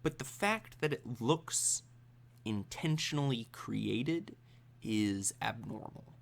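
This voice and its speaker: A man working as game master, improvising the narration of a tabletop role-playing game in a halting, thinking-aloud way. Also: mid-range voice, animated, vocal fry, a little hiss or hum.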